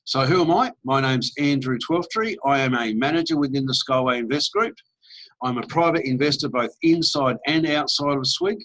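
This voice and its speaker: A man's voice: mid-range voice, moderate pace, fairly bright tone, low-quality recording. A middle-aged Australian man giving an upbeat, persuasive sales presentation with casual fillers. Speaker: network marketing presenter.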